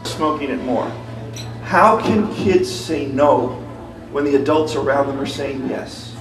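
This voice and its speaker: A man's voice: mid-range voice, measured pace. A man giving an impassioned public speech as a community activist, driving home repeated calls to action. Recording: echoey room, distant mic, music behind.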